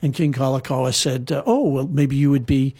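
A man with a husky, slightly breathy voice tells stories in a loose, conversational way. He wanders through his points with fillers and restarts, and his pitch sits in the middle range. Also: moderate pace, warm tone. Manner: rambling, with frequent fillers and restarts. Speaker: guest storyteller. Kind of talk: casual conversational storytelling. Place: lives in Hawaii.

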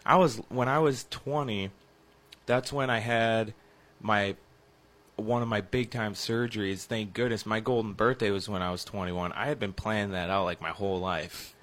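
A man in his twenties co-hosting a sports talk show, joking around with a raspy, crackly voice. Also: on the low side, steady tone, normal speed, fairly bright tone. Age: 20s. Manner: joking, self-deprecating. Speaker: sports radio co-host. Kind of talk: casual banter.

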